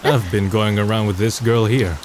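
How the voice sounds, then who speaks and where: An actor playing a wry, introspective young college student who narrates and speaks as the lead in a manga drama dub, understated and reflective. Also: male voice, fairly low pitch, steady tone, moderate pace, a little mellow, slight background noise, close to an everyday voice. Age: young adult. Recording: music under the voice.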